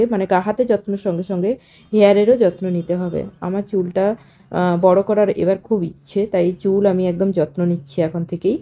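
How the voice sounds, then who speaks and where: A woman's voice: mid-range voice, dark tone. A woman with a Bengali accent talking casually to her viewers in a chatty, explanatory vlog style, mixing in English words. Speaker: vlogger.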